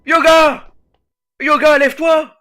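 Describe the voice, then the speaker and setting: Effeminate boy hero, very high voice, fussy, melodramatic, anime parody, slightly distant with faint music. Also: flat delivery, takes it slowly, pushed and bright, exaggerated voice. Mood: distraught. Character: whiny, effeminate young male hero knight, fussy and melodramatic. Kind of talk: comic anime parody dub.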